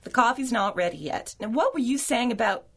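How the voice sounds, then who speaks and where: A woman's voice: fairly high pitch, expressive, brisk, fairly bright tone, breathy edge. A woman playing a feisty, devoted secretary in a 1930s-style radio drama, earnest and theatrical in delivery. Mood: exasperated.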